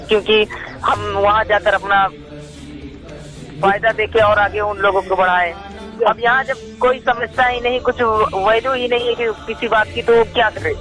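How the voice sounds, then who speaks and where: A man's voice: high-pitched, pushed and bright. A man talking on a phone call as an activist, deferential but insistent, often repeating himself. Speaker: activist caller. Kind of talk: casual phone discussion. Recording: live call recording with music behind.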